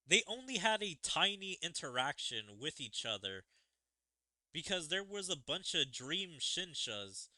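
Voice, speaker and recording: Bright-voiced animated man commenting on games. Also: medium pitch, dry recording. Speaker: gaming streamer. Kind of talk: casual commentary on a game story, reading dialogue aloud. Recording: game music behind the voice. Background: game music.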